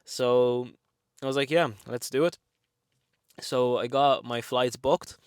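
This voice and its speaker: A man's voice: mid-range voice, steady tone, takes it slowly, clear, resonant tone, no echo, clean studio sound. A man in his twenties from Lithuania, speaking English with a Lithuanian accent, telling a personal story in a chatty, frank way with many fillers. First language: Lithuanian and Russian. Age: 20s. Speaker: vlogger. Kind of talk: casual storytelling to camera.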